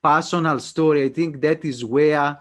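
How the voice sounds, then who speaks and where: A male interview host with a fairly high voice for a man. He speaks earnestly and haltingly, breaking off and restarting his phrases as he introduces and questions his guest. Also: fairly even intonation. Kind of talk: interview hosting.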